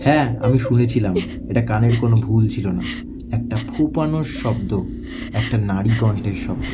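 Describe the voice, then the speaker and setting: A man narrating a first-person horror story in a dramatic, suspenseful way, with deliberate phrases broken by pauses. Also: medium pitch, lively intonation, heavy background noise.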